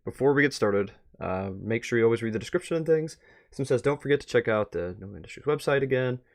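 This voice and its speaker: A man live-streaming a reaction to a web series, chatty and excitable, thinking aloud as he watches. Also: lowish voice, gravelly.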